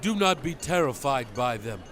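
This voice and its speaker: An actor playing a solemn, commanding prophet in a dramatized audio Bible, stern and fervent, speaking with deliberate, emphatic oratory. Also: male voice, mid-range voice, lively intonation, fairly bright tone, a little hiss or hum, close to an everyday voice. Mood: fervent, rousing. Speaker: dramatized scripture narrator. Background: music.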